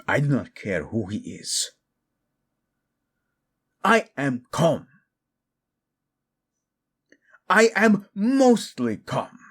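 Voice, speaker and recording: A man acts out a devoted prince, protective and tender with his beloved. His voice is husky and moves slowly, with wide, expressive swings in pitch. The phrasing is formal yet intimate, sprinkled with Spanish endearments, in the style of a romantic audio drama. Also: heavily acted. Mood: indignant, protective. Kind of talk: romantic audio roleplay acting.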